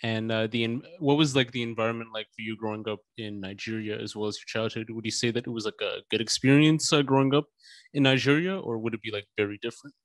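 A man's voice: mid-range voice, steady tone, quick pace, dry recording. A male podcast host in a medium register, recorded dry with almost no room sound. His questions wander and are padded with casual fillers. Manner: meandering questions full of fillers.

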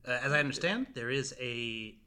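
A man hosting a podcast, asking questions in a rambling, halting way. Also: mid-range voice, animated, sharp tone, smooth. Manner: rambling, halting, many restarts. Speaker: podcast host. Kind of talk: casual interview.

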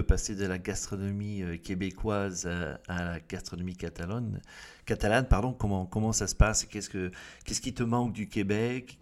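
A man's voice: low voice, a little mellow. A man hosting a conversational interview, with hesitant phrasing as he repeats words while forming his questions. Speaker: interviewer, host.

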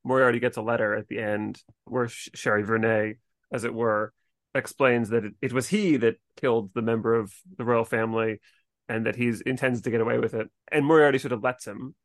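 A man's medium-pitched voice in a dry, echo-free recording. He speaks as a podcast co-host, explaining and analysing in a casual conversational way. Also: fairly even intonation, brisk. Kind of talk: casual literary discussion.

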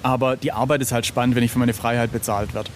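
A man's voice: medium pitch, little pitch movement, quick pace. A middle-aged man being interviewed as an illustrator, telling anecdotes in a casual, informal and self-deprecating way. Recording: clearly noisy background ambience.

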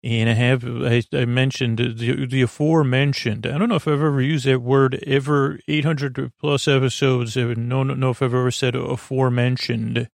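A man with a creaky, lulling voice hosting a sleep podcast, meandering through halting, tangential bedtime talk. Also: medium pitch, level tone, no echo, studio quality. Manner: meandering, tangential, halting, self-deprecating nonsense. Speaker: podcast host. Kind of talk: rambling bedtime monologue to help listeners sleep.

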